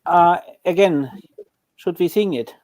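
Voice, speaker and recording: Hesitant man, a bit hoarse. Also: sits high, slow pace, darkish tone, no echo. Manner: hesitant, searching for words. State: voice still hoarse after illness. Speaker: class student.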